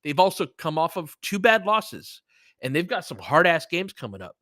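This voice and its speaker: A man's voice: a little high, very animated, normal speed, fairly bright tone, dry recording, clean studio sound. A man hosting a live play-by-play stream, giving casual, conversational sports commentary and analysis as he chats with listeners, with the odd mild swear. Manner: conversational, chatting back to listeners, mildly profane.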